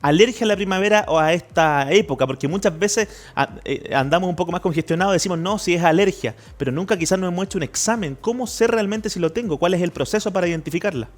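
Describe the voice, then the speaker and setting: Fast-talking male host. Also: sits high. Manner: friendly, quick affirmations. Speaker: morning show host. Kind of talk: casual interview questions.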